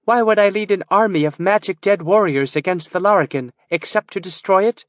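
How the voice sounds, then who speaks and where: A man's voice: high voice, a little fast, dry recording, pitched above the performer's own voice, close to an everyday voice. A man voicing a proud, stern female elf wizard who speaks in a commanding, cryptic way. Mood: urgent.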